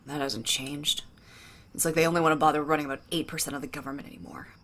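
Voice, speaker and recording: Low-voiced wry woman. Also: fairly even intonation, fast, fairly bright tone. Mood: bitter, probing. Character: wry, guilt-burdened woman, old friend in debt. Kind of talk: naturalistic audio drama acting.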